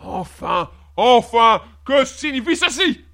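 A woman's voice: mid-range voice, lively intonation, moderate pace, fairly bright tone. A woman voicing a haughty, furious king in a storybook, imperious and indignant as she makes demands. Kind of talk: storybook character acting.

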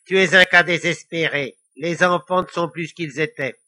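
A man's voice: a little high, fairly even intonation, normal speed, bright, forceful tone. A man voicing a mischievous, boastful ancient little devil in a children's story, with a sneering, theatrical manner. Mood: irritated, snapping. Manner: sneering, theatrical, cackling taunts. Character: mischievous, boastful five-thousand-year-old little devil, male.

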